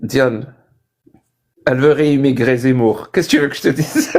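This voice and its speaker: A man's voice: medium pitch, moderate pace, darkish tone. A man hosting a casual online debate, asking the guest teasing, pointed questions. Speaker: debate host.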